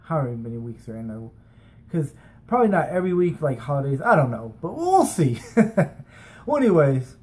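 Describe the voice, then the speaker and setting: A man's voice: on the high side, big pitch swings, brisk, a little mellow. An American man in his thirties telling personal stories in a casual, informal, rambling way, like a vlogger talking to a camera in a bedroom. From United States, from San Francisco and Las Vegas. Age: 30s. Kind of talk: casual chat, anecdotal storytelling. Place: small bedroom, indoors. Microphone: webcam or camera at desk distance.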